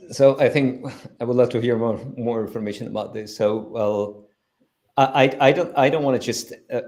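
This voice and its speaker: Spanish-accented man, low voice, halting. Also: a little mellow. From Spain. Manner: earnest, halting with many restarts. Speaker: foundation founder, panel guest. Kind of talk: live stream panel talk.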